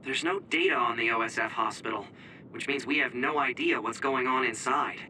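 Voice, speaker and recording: A man's voice: medium pitch, normal speed, pushed and bright, distant mic, slight background noise, rough recording. A man playing a cheeky, informal young tech-savvy comrade in a video game cutscene. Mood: matter-of-fact.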